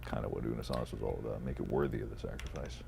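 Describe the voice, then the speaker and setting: A man talking casually in a podcast-style conversation as a content creator, with halting, mulled-over phrasing. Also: extremely low pitch, gravelly, warm tone. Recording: noticeable background noise.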